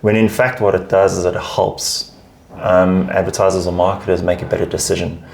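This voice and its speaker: Guest spot: man, deep gravelly voice, emphatic chatty answers. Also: flat delivery, moderate pace, a little mellow, slight background noise. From South Africa. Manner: emphatic, repeats words while finding phrasing. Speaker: guest, advertising research lead. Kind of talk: casual interview chat.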